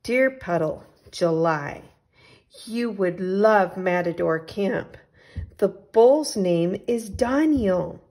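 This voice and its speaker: A woman reading as an adventurous, affectionate male storybook traveler, with exclamatory phrasing and drawn-out sign-offs. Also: mid-range voice, expressive, darkish tone. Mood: eager, affectionate. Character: adventurous, affectionate storybook traveler writing postcards to his friend.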